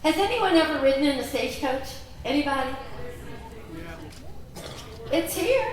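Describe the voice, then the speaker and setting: A woman's voice: high voice, lively intonation, normal speed. A woman originally from Greece, giving a chatty talk to a convention audience and often asking them questions. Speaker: convention speaker. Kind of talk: presentation to an audience. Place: large convention hall. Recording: echoey hall, voice from far off.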